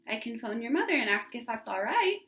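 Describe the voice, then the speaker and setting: A woman voicing a kind, gently reassuring mother in a children's storybook read-aloud. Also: on the high side, sing-song, a little fast. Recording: echoey room.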